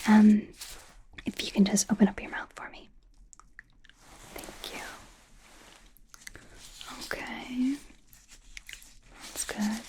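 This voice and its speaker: Casting: woman as female werewolf doctor for ASMR roleplay; soft mellow whisper, awkward, curious, apologetic, unhurried. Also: medium pitch, fairly even intonation, lightly acted. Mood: gently clinical. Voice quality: whispered, breathy. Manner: hesitant, halting, softly reassuring. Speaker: ASMR performer.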